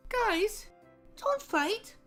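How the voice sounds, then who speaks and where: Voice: very high-pitched, expressive, extremely slow, bright, forceful tone, soft background music, pitched above the performer's own voice, exaggerated voice. A performer voicing a timid, childlike, naive female siren with a small, pleading, stammering voice for a story reading. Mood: hurt, pleading. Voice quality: small, thin voice.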